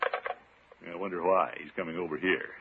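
An actor playing a pompous, excitable middle-aged water commissioner in a 1950s radio comedy, with a blustering manner. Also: male voice, low-pitched, measured pace, vocal fry. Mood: muttering. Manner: blustering, hammy, swooping delivery. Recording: muffled 1950s radio recording, background hiss.

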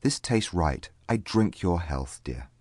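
A very low-pitched gentleman of the house, acted out by a woman reader, mild but firm, with old-fashioned courtesy. The measured, formal delivery rises and falls freely, in a close, dry storybook recording. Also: animated, slightly rough, no echo, full character voice. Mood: pleasantly surprised. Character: John, mild old-fashioned husband and firm young father.

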